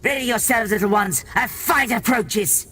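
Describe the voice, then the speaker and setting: A man's voice: high-pitched, normal speed, bright, forceful tone, soft background music, voice pushed up. A man voicing a fierce female alien pack leader for an audiobook, with a barking, commanding manner. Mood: urgent, commanding. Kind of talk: audiobook character voice.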